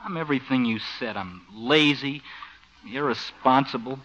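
An American actor playing a lazy, sheepish young freeloading cousin, earnest and self-pitying, in 1950s radio comedy style. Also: male voice, medium pitch, moderate pace, a bit bright, natural voice. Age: young adult. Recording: dull old-time radio recording.

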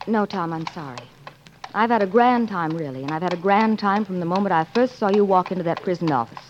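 A woman's voice: fairly low pitch, a little fast, a little hiss or hum, natural voice. An actress playing an ambitious, romantic newspaperwoman, earnest and witty, in 1940s radio drama style. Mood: torn, resolute. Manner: earnest, dramatic, clipped wit. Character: ambitious, romantic American newspaperwoman torn by love. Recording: muffled 1940s radio recording.

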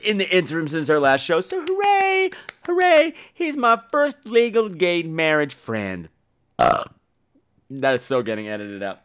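A man chats as a podcast host in a fairly high voice that swings widely in pitch. His delivery is campy and theatrical, stretching words out for emphasis. The style stays casual and conversational. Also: huge pitch swings, a little slow, a bit bright, clean tone. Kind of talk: casual chatty podcast monologue.

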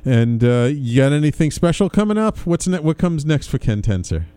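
A man's voice: fairly high pitch, expressive, normal speed, warm tone, clear, resonant tone, dry recording. A man hosting an interview in a conversational, agreeable way, often chiming in with affirmations. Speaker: show host, interviewer.

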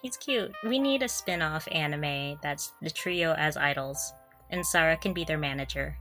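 A woman's voice: deep voice, normal speed, fairly bright tone, faint music behind. A woman co-hosting a podcast in casual fan chat, gushing and giggly as she talks. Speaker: podcast co-host.

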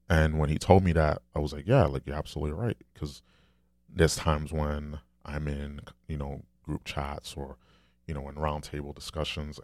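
A man with a deep, low, soft voice, talking candidly and rambling in a casual solo monologue, swearing now and then. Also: no room sound, clean studio sound.

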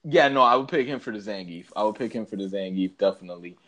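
A man with a fairly low voice co-hosts a casual podcast. He talks in slangy, blunt banter, often working out his thoughts aloud. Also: quick pace, fairly bright tone. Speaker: podcast co-host. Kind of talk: casual banter, ranting movie review.

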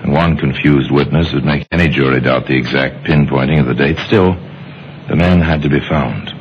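A man narrating an old radio mystery in a deliberate, wry, dramatic style. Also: extremely low pitch, a little hiss or hum. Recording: muffled old radio recording. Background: music.

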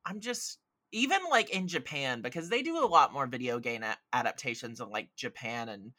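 Bright-voiced man chatting on a podcast. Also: medium pitch, expressive, normal speed, no room sound, studio quality. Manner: rambling, enthusiastic, informal. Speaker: podcast speaker. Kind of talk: casual film and video game discussion.

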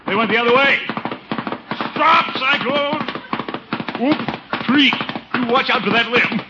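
An actor playing a pompous, flustered middle-aged American uncle in a 1950s radio sitcom, blustering and muttering asides. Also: male voice, very high voice, measured pace. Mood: panicked bluster. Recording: noisy, muffled 1950s radio recording.

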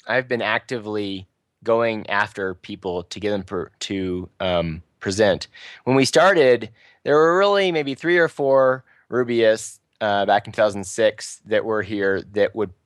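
A man who runs a local programming user group, talking casually in a loose, chatty way with lots of fillers and restarts, and a fairly loud voice. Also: lowish voice, no echo. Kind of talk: casual podcast panel talk.

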